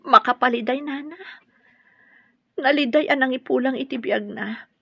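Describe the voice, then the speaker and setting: A woman acting a gentle, grieving and consoling character in a radio drama, with an Ilocano accent. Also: high voice, moderate pace, vocal fry, breathy edge, dry recording, full character voice. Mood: sad, consoling. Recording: dry, dull lo-fi audio.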